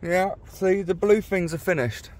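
A parent in a car going through a car wash, calmly reassuring a small child and explaining what the machine does. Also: mid-range voice, unhurried. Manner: soothing, reassuring. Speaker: parent filming a vlog. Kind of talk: casual chat reassuring a child. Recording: loud car wash noise behind. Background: loud brushes and blowers of a car wash.

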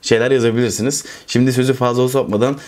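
A man's voice: medium pitch, fairly even intonation, very fast, darkish tone. A man, hosting a video in a casual chat to camera from a room at home. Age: 20s. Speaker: host of a video channel. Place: small furnished room at home.

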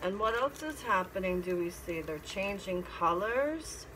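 A middle-aged woman reads a picture book aloud as a teacher, pausing to explain and ask simple questions. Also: medium pitch, lively intonation, a bit bright, rough recording. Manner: instructive, asking simple questions. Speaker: teacher reading to children. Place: classroom. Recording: clear background noise.

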